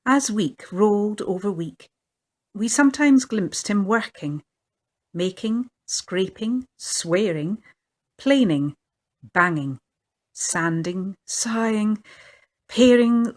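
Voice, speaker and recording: A woman's voice: mid-range voice, animated, slow pace, a little mellow. A woman reading her own picture book aloud in a lilting storytelling manner. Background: faint fiddle music.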